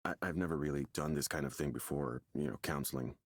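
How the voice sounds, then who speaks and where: A man's voice: a bass voice, quick pace, no room sound, studio quality. An actor playing a nervous, defensive expectant father in a visual novel, hesitant and stammering as he talks. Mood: uneasy, hesitant. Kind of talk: visual novel game dialogue. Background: music.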